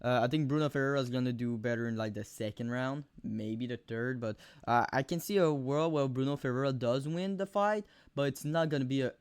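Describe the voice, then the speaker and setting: A young man with a thick Quebec French accent giving rambling, profane fight predictions on camera, full of fillers and sudden animated outbursts. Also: medium pitch, fairly quick, few pauses, clear, resonant tone, no room sound. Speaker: MMA video host. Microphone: camera mic, close.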